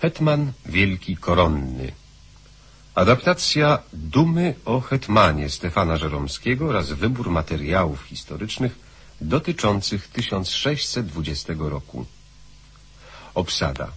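Deep, husky male announcer. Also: big pitch swings, measured pace, a bit bright. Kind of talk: formal scripted cast announcement.

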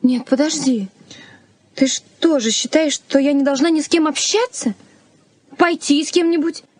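A young woman, played by an actress in a Soviet radio play. Her voice is high, and she is an independent fiancée who exclaims and coaxes. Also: moderate pace, a little mellow, dry recording, lightly acted. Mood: indignant.

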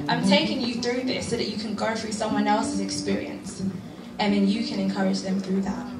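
A woman's voice: mid-range voice, fairly even intonation, fairly quick. A woman giving an encouraging, preaching-style talk from a stage at a women's conference, on a handheld mic. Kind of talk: inspirational church talk. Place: church hall stage, indoors. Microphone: handheld mic, filmed from far. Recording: echoey hall from far off, with music.

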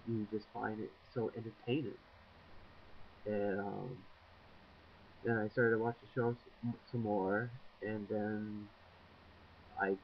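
A man's voice: fairly low pitch, a little hiss or hum. A man in his twenties, shy and rambling with lots of ums, talking to a webcam in a dim room. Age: 20s. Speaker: vlogger. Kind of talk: casual video blog. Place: small dim room indoors, window behind. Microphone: built-in webcam mic. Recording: dull lo-fi webcam audio.

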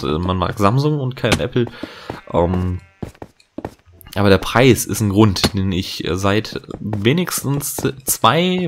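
A low-voiced man talks casually over his gameplay, drifting from thought to thought with plenty of fillers and self-corrections. A faint hiss and the odd click sit under his voice, as from a home desk mic. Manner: chatty, rambling, many fillers and restarts. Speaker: let's play host. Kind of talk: casual gaming commentary.

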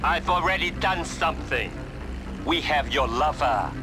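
An older video game crime lord. His voice is fairly high and moves up and down a lot. He is formal and courteous, with plain menace underneath, and music plays behind him. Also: expressive, measured pace. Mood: cold, menacing. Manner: formal, polite, threatening. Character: calm, menacing older Chinese male crime boss. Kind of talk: video game cutscene acting.

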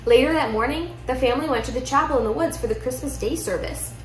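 A young woman reads a children's picture book aloud with expressive storytelling in a living room. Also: sits high, fast talker. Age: young adult. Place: indoor living room at home. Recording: roomy echo, music behind.

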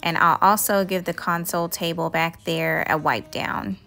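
A woman's voice: on the low side, fairly even intonation, moderate pace, sharp tone, faint music behind. A woman doing a casual, conversational voice-over for a homemaking vlog, chatting in a friendly way. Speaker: homemaking vlogger.

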